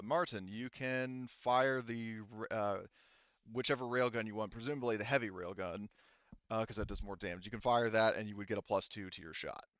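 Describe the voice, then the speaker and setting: A man acting as game master of a tabletop game, explaining rules casually in a halting, step-by-step way. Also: lowish voice, fairly bright tone, smooth, no echo, dull audio.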